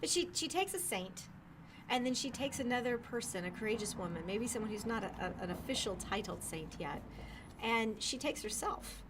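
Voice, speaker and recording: A woman's voice: a little high, lively intonation, normal speed, noisy background. A woman co-hosting a casual book recommendation chat, talking in a chatty, enthusiastic, giggly way. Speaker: co-host of a book recommendation show.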